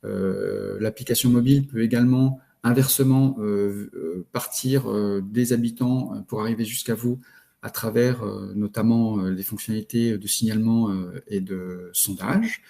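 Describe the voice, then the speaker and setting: A man presenting a webinar in a didactic, halting manner, laying out points one by one. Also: lowish voice, fairly even intonation, gravelly, warm tone. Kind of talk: explanatory webinar talk.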